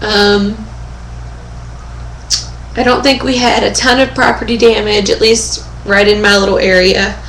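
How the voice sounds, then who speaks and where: A young woman speaks slowly and casually into her webcam at home, her voice hoarse and fading. She fills her talk with pauses and keeps clearing her throat. The room echoes around her, with a faint hum of ambience behind. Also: mid-range voice, little pitch movement, fairly bright tone. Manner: chatty, many fillers and throat clearing. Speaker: vlogger. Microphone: webcam microphone.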